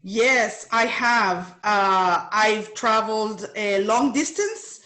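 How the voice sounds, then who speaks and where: A woman's voice: mid-range voice, lively intonation, takes it slowly, bright, forceful tone. A woman from Canada with a Canadian accent, chatting casually as an interview guest. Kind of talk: casual conversation on a call. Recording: echoey room on a call.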